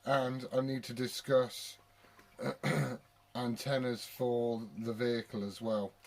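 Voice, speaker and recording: British male CB operator, mid-pitched, casual, blokey and given to swearing. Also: little pitch movement, takes it slowly, creaky, a little mellow. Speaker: CB radio operator and video maker. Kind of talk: casual radio chat.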